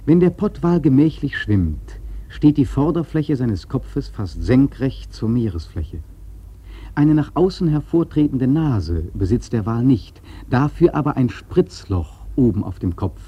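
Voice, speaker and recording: An actor playing an earnest, reflective seafaring narrator in a radio play, with measured, rhetorical literary delivery and a husky, mellow voice. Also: male voice, medium pitch, very animated, highs cut off. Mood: earnest, explaining.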